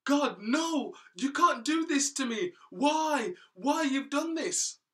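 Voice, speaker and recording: A man voicing Cain, a distraught young man, with high strained wailing pleas. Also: lively intonation, slow pace, pushed and bright, exaggerated voice. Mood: anguished. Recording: voice from a distance.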